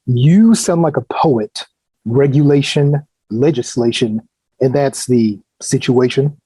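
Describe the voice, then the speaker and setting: An actor playing an easygoing, calming friend in a screenplay table read. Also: male voice, mid-range voice, slow, breathy edge, low-quality recording. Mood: impressed.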